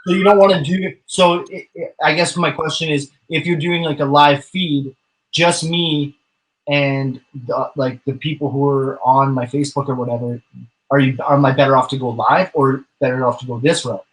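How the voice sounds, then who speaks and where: A man's voice: medium pitch, steady tone, normal speed, poor audio. A man chatting casually as an interview guest, rambling with many fillers and the odd curse. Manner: rambling, frequent fillers and swearing. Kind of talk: casual chat.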